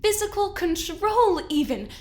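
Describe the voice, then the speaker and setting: A woman playing an ambitious, quietly ruthless boss in an audio drama, mock-sweet, condescending and theatrical, with drawn-out emphasis. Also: extremely high pitch, sing-song, full character voice. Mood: gleeful ambition.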